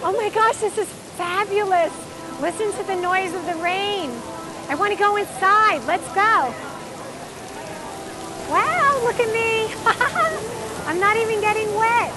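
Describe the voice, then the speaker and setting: Bubbly woman host with a high voice. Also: animated, slow pace. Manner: bubbly, exclamatory. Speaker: host and interviewer. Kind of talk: enthusiastic on-location hosting. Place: outdoors on a city street and inside an exhibit. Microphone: handheld microphone. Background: music.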